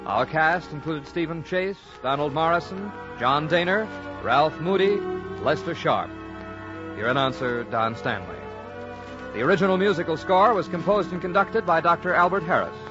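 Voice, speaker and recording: An American man working as a radio announcer, reading a script formally in a 1940s broadcast style with measured diction. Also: fairly high pitch. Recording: old muffled radio broadcast, faint music.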